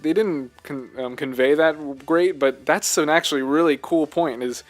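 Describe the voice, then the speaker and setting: A man's voice: on the high side, normal speed. Casual man podcasting over music. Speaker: podcast co-host. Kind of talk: casual chat, video game discussion.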